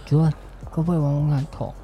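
A man playing a nervous, deferential office worker, hesitant and breathy, in an audio drama. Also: a little high, little pitch movement, fast, muffled recording, over music, lightly acted. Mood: pleading.

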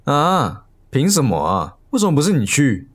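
A man's voice: mid-range voice, wildly swooping pitch, moderate pace. An actor playing a playful, teasing, easily flustered young male coworker in a Mandarin audio romance drama. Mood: playful grumbling.

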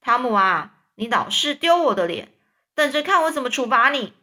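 Indignant aunt, scolding, a woman voices her, high and bright. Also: expressive, moderate pace.

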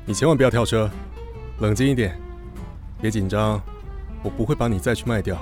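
A man's voice: on the low side, brisk, music in the background, lightly acted. An actor playing a composed, reassuring male stranger at the wheel in a radio drama. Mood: calm, reassuring. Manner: calm, reassuring.

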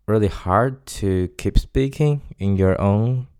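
A man in his twenties from Taiwan, with a Taiwanese accent and Mandarin Chinese as his first language, hosting a casual solo podcast and pausing often to search for words. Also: fairly low pitch, darkish tone, clean tone. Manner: hesitant, searches for words with many pauses. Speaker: podcast host.

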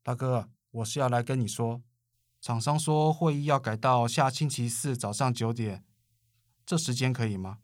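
A man's voice: medium pitch, normal speed, no room sound, clean studio sound, lightly acted. A man playing an honest, agitated working father, insistent and indignant. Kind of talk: radio drama acting.

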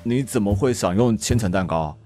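A man's voice: on the low side, moderate pace, mellow, faint music behind, close to an everyday voice. A man with a Taiwanese Mandarin accent playing a stubborn, prickly, easily flustered middle-aged man in an audio drama. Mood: flustered scolding. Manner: tsundere, scolding, flustered.

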